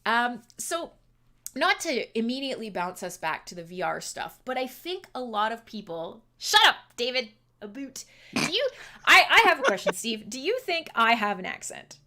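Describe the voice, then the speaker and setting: A woman's voice: on the high side, sing-song, moderate pace, sharp tone. A Canadian woman hosting a casual livestream chat, playful and chatty, laughing often as she talks. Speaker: livestream host.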